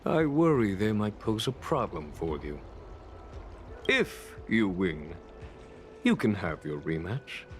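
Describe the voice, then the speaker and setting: A man voicing a calm, courteous, calculating schemer in a fantasy video game, measured and deliberate, with noisy ambience behind the voice. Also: fairly low pitch, faint music behind, full character voice. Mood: measured, challenging. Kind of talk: video game dialogue acting.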